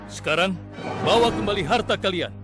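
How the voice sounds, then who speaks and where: A man playing a stern, dignified and devout prophet-king in an animated story, with solemn, declamatory, formal phrasing. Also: sits high, brisk, close to an everyday voice. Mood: stern, proud. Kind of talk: dubbed animated religious story acting. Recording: loud music under the voice.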